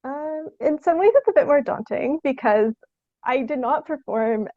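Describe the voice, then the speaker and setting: High-voiced young woman, muffled audio. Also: moderate pace, dry recording. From Canada. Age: young adult. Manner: informal, often giggling mid-sentence. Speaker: theatre artist being interviewed. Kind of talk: casual interview chat.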